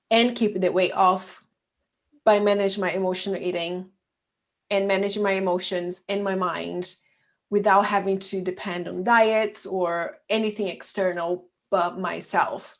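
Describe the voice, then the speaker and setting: A woman in her thirties with a Brazilian accent and Portuguese as first language, talking casually and personally as an interview guest. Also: on the low side. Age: 30s. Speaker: podcast guest, emotional eating coach.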